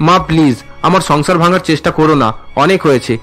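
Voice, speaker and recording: A man's voice: a little high, fast, a bit bright, soft background music, natural voice. A man voicing an earnest, concerned young man in a cartoon family drama. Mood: pleading. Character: earnest young men: husband, student, dutiful son.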